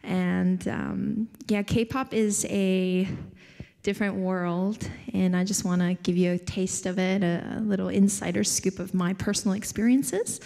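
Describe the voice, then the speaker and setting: A young woman from Hawaii speaks to an audience from a theater stage, relaxed and friendly, laughing lightly between thoughts. Her pitch sits in an ordinary middle range for a woman. The handheld mic picks up a long hall reverb around her. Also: a little slow, gravelly. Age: young adult. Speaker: guest speaker. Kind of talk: casual talk to an audience. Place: large theater stage, seated. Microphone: handheld microphone.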